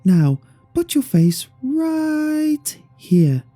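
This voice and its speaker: Give this female puppet , acted out by a man, a high, swooping voice full of scolding and menace. She orders people about in sing-song commands, as in a horror storybook reading, over music. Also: sing-song, very slow, mellow, full character voice. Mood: bossy scolding.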